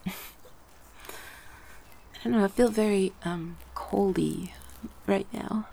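A woman voice performer chatting gently in an ASMR roleplay, slipping between soft speech and whispers, a little congested from a cold. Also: mid-range voice, slow, creaky, slight background noise.

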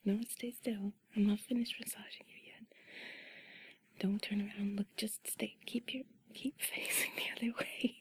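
A woman speaking in a soft, breathy whisper, intimate and playful, as in a bedtime ASMR roleplay with a partner. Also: mid-range voice, level tone, normal speed. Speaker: ASMR performer playing an affectionate partner.

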